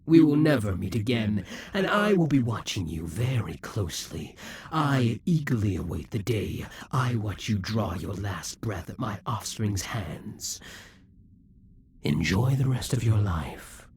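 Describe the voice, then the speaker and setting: A man playing a vengeful, defeated demon king in an audio roleplay, taunting and grandiose, with a husky, breathy voice that often drops to whispers. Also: lowish voice, big pitch swings, darkish tone, dry recording, exaggerated voice. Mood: triumphant scorn. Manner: grandiose, menacing, deliberate dramatic delivery. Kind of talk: scripted audio roleplay monologue.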